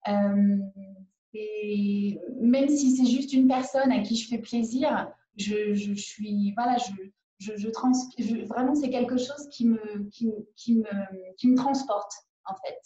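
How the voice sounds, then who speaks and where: A woman's voice: medium pitch, takes it slowly, dark tone, muffled recording. Hesitant woman on a roomy video call. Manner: hesitant, many fillers and restarts. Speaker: independent perfumer. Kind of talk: conference video call interview. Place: living room at home. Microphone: laptop webcam on a video call.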